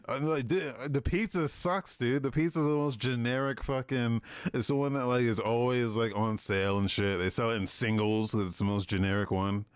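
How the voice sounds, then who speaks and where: A man's voice: medium pitch, steady tone, normal speed, clean tone, no echo, clean studio sound. A young man co-hosting a podcast, bantering in a laid-back way and calling people "dude" and "bro.". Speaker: podcast co-host. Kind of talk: casual chat.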